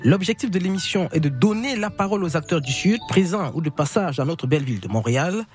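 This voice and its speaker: A man hosting a radio show from a studio, presenting in a measured way with frequent hesitations. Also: fairly high pitch, animated. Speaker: radio host. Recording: radio broadcast with music behind. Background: music.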